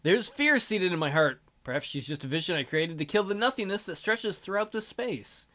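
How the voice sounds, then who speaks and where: Man acting a hesitant, high-voiced lonely young man. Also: lively intonation, moderate pace, pushed and bright. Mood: timid, uneasy. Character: hesitant, lonely man waking in a dark void.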